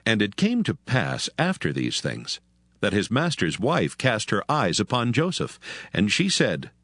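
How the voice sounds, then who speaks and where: A man narrating an archaic scripture text in a measured, solemn reading. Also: fairly low pitch, huge pitch swings, a bit bright, no room sound, clean studio sound.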